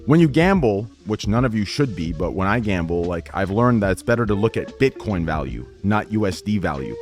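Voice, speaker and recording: Blunt, foul-mouthed male streamer. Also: on the low side. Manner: blunt, profane, rambling. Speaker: Twitch streamer. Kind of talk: casual livestream chat.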